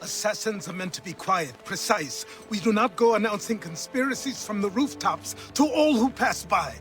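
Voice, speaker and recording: Weary old mentor scolding someone, high voice, stern game drama, music behind. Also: male voice, animated, moderate pace, a bit bright. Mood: stern, bitter. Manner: stern, lecturing. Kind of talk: dramatic video game acting.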